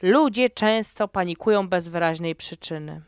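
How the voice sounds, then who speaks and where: A woman narrating a first-person horror story in a slow, dramatic storytelling manner. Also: fairly low pitch, noisy background. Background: background music.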